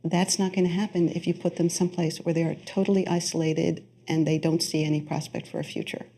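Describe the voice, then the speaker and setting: Creaky, mellow-voiced woman giving commentary. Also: low-pitched, little pitch movement, brisk, a little hiss or hum. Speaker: expert interviewee. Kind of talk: measured interview commentary.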